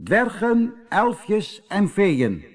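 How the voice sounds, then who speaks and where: A man voicing a cheerful little mountain gnome in a storybook, with a hearty, excited way of talking. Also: high-pitched, drawn-out words, voice pushed up, exaggerated voice. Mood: proclaiming.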